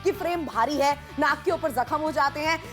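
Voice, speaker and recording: A woman's voice: high-pitched, a little fast, fairly bright tone. A woman presenting a home-shopping product on TV, chatty and persuasive, eagerly agreeing with her co-host, over background music. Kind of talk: lively home-shopping pitch.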